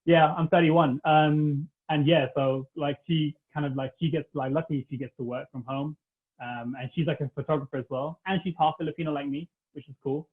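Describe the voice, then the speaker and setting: A man's voice: on the high side, steady tone, fairly quick, husky. A man, chatting casually as a podcast guest and rambling with lots of "like". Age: 30s. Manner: rambling, heavy use of "like". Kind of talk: casual chat. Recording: tinny phone-like line.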